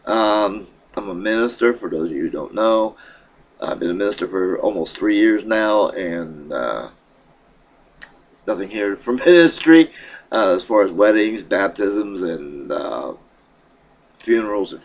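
A middle-aged man with a deep, low voice talks into a desk webcam in a small room at home. His throat sounds froggy, and his speech wanders, with halting restarts and plenty of fillers. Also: animated. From Pennsylvania. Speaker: vlogger. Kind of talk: casual vlog update.

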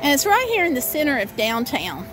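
High-voiced woman giving a sightseeing tour. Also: wildly swooping pitch, brisk. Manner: reads out signs and points out sights. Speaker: travel vlogger. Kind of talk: informal narrated sightseeing tour. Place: outdoors on small-town streets at night. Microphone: handheld camera at arm's length.

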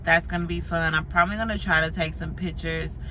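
A young woman with a raspy, hoarse voice, a teacher chatting candidly to a phone camera on her dashboard while she drives. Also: on the low side, fairly even intonation, fairly quick. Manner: candid, chatty, swears casually. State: voice going hoarse. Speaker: teacher vlogging. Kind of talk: casual chat. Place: inside a moving car. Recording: loud road noise from driving.

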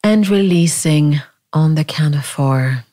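Female meditation guide: calm, gentle, very slow delivery with generous pauses, warm and relaxed tone. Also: low voice. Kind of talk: guided body scan meditation.

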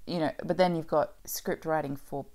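A woman's voice: deep voice, steady tone, moderate pace, a little mellow. A woman co-hosting a podcast, chatting casually in a thoughtful, reflective way. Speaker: podcast co-host.

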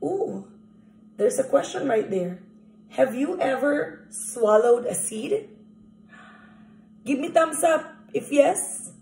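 A young woman teacher leading an interactive picture-book read-aloud, animated and asking children questions directly. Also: high-pitched, takes it slowly, vocal fry, pauses often, slight background noise. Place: small indoor room at home. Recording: echoey room recording.

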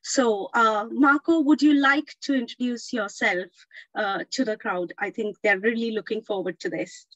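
A woman's voice: a little high, moderate pace, fairly bright tone, no room sound, highs cut off. A woman moderating a panel, chatty and encouraging as she hands over to the speakers.

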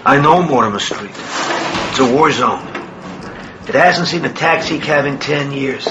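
An actor playing a sceptical, level-headed Jesuit priest in a radio drama, terse and dry. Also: male voice, mid-range voice, lively intonation, measured pace, noisy background, natural voice.

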